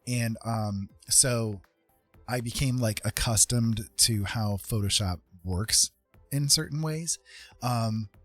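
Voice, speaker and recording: A man in his fifties chatting casually on a livestream while drawing, in a friendly, rambling way, thinking out loud. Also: fairly low pitch, slow pace, no room sound, soft background music. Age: 50s. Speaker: art livestream host.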